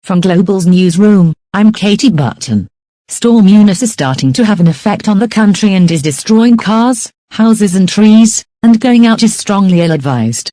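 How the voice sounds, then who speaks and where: A woman's voice: mid-range voice, animated, moderate pace, dark tone, no room sound, close to an everyday voice. A woman playing a composed television newsreader, reading a scripted news bulletin in a formal manner. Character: composed female television newsreader delivering storm headlines.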